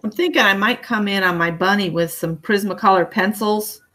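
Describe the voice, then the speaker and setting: A woman's voice: medium pitch, normal speed, a bit bright. Easygoing woman talking crafts. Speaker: live stream guest crafter. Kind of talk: casual craft chat.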